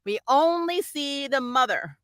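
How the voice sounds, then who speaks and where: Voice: high-pitched, expressive, a little slow, fairly bright tone, no room sound, studio quality. A vlogger chatting off the cuff in a rambling, opinionated way, like a fan giving a review. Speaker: YouTube reviewer reading and answering viewer comments.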